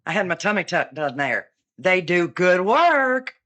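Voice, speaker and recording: An actress playing a chatty young woman eyewitness in a comic radio drama, rambling and deadpan. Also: lowish voice, unhurried. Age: 20s. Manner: chatty, meandering, with an offbeat deadpan.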